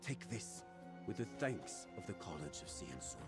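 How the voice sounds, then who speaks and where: An actor playing an earnest, dutiful leader of a fantasy college, speaking formally in video game dialogue. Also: male voice, deep voice, very animated, normal speed. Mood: resolute, grateful. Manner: formal, declamatory. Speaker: quest-giver character in a fantasy video game. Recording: game dialogue under loud music.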